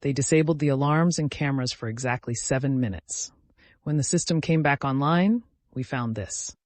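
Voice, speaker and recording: A man's voice: medium pitch, moderate pace, no room sound, natural voice. A man voicing a grim, businesslike female police detective in an audiobook, terse and official. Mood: grim urgent.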